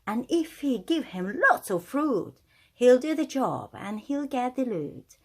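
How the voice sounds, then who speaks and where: Woman acting out a husky, scheming storybook thief. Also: on the high side, sing-song, unhurried, exaggerated voice. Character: two gleeful scheming male thieves, sly and gloating. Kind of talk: children's storybook character voice.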